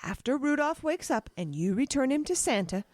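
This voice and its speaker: Stern suspicious wife: higher pitched, lively intonation, exasperated questioning, audio play. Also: female voice, a little fast, dry recording. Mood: firm, stern. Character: suspicious, firm, morally upright wife and tour guide. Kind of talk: audio drama acting.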